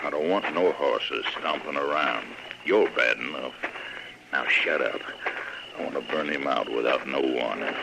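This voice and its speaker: A very low, gravelly voice on a heavily noisy old radio recording. a vengeful western outlaw, bullying and threatening in rough country speech. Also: male voice, unhurried, exaggerated voice. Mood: menacing, impatient. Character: menacing, vengeful male outlaw, bullying and greedy. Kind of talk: 1950s radio western acting.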